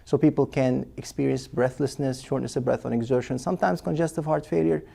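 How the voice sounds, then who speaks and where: A man's voice: mid-range voice, fairly even intonation, fairly quick, vocal fry, dark tone. A man, a cardiologist patiently explaining in an interview, with frequent fillers and restarts. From Lebanon. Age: 40s. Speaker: cardiologist guest.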